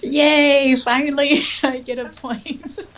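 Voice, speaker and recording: A woman's voice: high voice, steady tone, slow pace, a little hiss or hum. A woman co-hosting a podcast, discussing a story casually and reading passages from it aloud. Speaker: podcast co-host and audiobook narrator.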